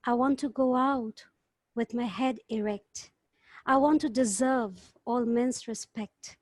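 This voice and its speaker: Female poetry-group member, higher-pitched, on a video call with a very dry, echo-free sound. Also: slow pace, darkish tone. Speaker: poetry group member reading a chosen poem. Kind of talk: casual discussion and poetry recitation at an online gathering.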